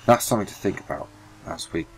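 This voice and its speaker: A man's voice: low voice, animated, quick pace, slight background noise, poor audio. A man hosting a racing-game video, talking casually over gameplay, sniffly from hay fever and often correcting himself. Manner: rambling, self-correcting, apologetic. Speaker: gaming video host.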